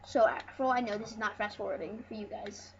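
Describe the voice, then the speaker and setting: An excitable, rambling host giving casual, jokey commentary over a video game. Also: medium pitch, fast talker. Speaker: host of a gaming channel. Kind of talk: casual let's play commentary. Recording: dull lo-fi mic with loud noise.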